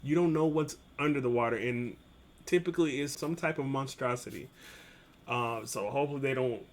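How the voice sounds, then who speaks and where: A man's voice: mid-range voice, brisk. A man hosting a reaction video, talking casually and directly to viewers in informal, slangy speech.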